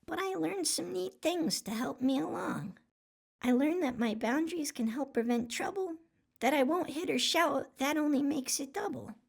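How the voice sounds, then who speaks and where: A woman's voice: high-pitched, expressive, normal speed, gravelly, pitched above the performer's own voice. A woman voicing an earnest, polite little boy in a children's storybook read-aloud. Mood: earnest, unsettled.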